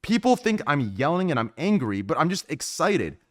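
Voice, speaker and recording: A man hosting a casual podcast chat, with a deep voice and an emphatic, rambling way of explaining himself. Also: moderate pace. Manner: animated, emphatic, repeats "do you understand". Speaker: podcast host.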